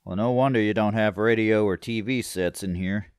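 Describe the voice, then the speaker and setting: An actor playing a concerned priest in a horror audio drama, measured and sympathetic as he gently questions. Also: lowish voice, clean tone, no room sound, close to an everyday voice. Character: gently probing, concerned priest hearing a confession.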